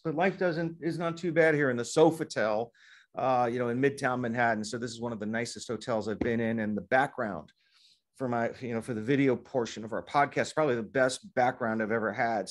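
A man in his fifties co-hosting a casual sports law podcast from a hotel room, talking in a chatty, digressive way and getting animated when he jokes. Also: medium pitch. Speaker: podcast co-host, sports lawyer. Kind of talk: casual legal podcast chat. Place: hotel room in Midtown Manhattan. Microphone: laptop webcam video call.

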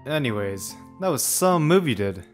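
A man's voice: medium pitch, very animated, moderate pace, soft background music, natural voice. A young man acting a cool, blunt, nonchalant teenage boy in a manga fandub, with a laid-back drawl. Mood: casual.

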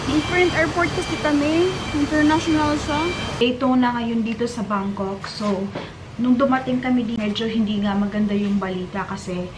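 Young Filipino woman vlogger, chatty and casual, speaking into a camera held at arm's length over clear traffic noise. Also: fairly high pitch, fairly even intonation, a little fast. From Philippines. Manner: chatty, mixes Tagalog and English. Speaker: travel vlogger. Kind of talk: casual travel vlog. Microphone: handheld camera at arm's length.

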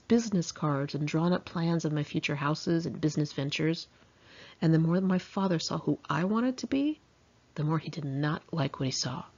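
Low-pitched, husky American woman reading. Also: a little mellow, muffled recording. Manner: candid, wry, self-mocking. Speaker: author reading own memoir. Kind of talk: scripted audiobook narration, conversational.

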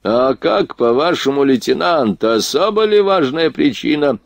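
Drunken aristocratic tyrant, played by a male narrator, raised pitch, imperious, contemptuous, audiobook dialogue, dry recording. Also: animated, a little slow, vocal fry, dry recording. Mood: haughty, irritated. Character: drunken, arrogant, dissolute grand duke, petty tyrant.

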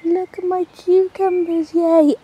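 A woman's voice: extremely high pitch, flat delivery, slow pace, mellow. A woman chatting casually while touring her allotment garden, rambling with frequent ums. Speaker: allotment vlogger. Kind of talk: casual gardening vlog narration. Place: sunny outdoor allotment garden. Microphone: handheld camera, speaker off screen.

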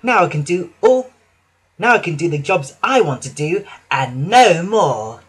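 A man voicing a vain, lazy female diesel railcar in a high, strained falsetto. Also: lively intonation, a little slow, fairly bright tone, breathy edge, full character voice. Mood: smug.

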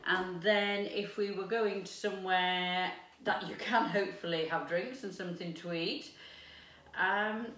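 A woman in her fifties chatting excitedly to camera while cooking in her home kitchen. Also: fairly low pitch, unhurried. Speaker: lifestyle vlogger. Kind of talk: casual chat to camera. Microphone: camera's built-in mic from a few steps away. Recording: echoey room, distant mic, background noise.

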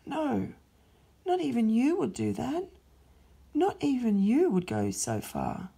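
A woman voicing Satan, a shrill, desperate fallen angel. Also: wildly swooping pitch, takes it slowly, gravelly, mellow, breathy edge, heavily acted. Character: cunning fallen male angel, sneering and scornful.

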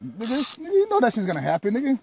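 A man bantering loudly and excitedly while fishing on a riverbank at night, full of profane slang, recorded on a handheld phone. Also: very high voice, very fast. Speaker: fisherman. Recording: noisy outdoor phone recording.

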